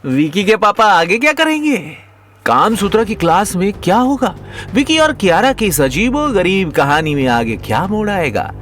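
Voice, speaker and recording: A man's voice: high-pitched, sing-song, moderate pace, a bit bright. A man narrating a comic audio story in a dramatic scripted style.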